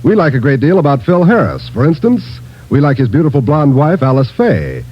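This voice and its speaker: A man's voice: a little high, big pitch swings, fairly quick. A man working as a radio announcer with a polished 1950s broadcast delivery. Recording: dull old radio broadcast with music.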